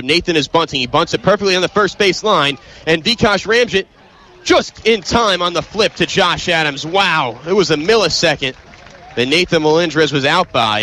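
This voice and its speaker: A young American man calling live baseball play-by-play, emphatic and excitable on big plays. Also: on the high side, slight background noise. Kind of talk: live sports commentary.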